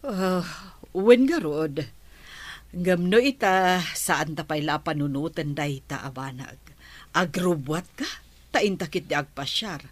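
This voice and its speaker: Woman voicing a chatty neighbor, low voice, slow, lively pitch, Ilocano accent. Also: no room sound. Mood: friendly. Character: friendly middle-aged neighbor woman.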